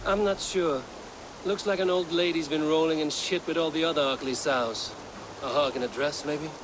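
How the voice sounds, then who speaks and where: A man's voice: a little high, steady tone, moderate pace, background noise, close to an everyday voice. A man playing a stern, wry Viking warrior, speaking in terse, dry challenges in a video game scene. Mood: mocking. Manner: probing, terse, dryly confrontational. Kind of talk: video game cutscene acting.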